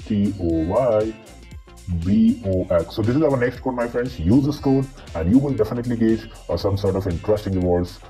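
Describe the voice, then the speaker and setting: A man with a pitched-down monster voice filter, walking through game codes and spelling each one letter by letter. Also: mellow. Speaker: gaming channel host. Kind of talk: mobile game code tutorial. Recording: voice-over with faint guitar music.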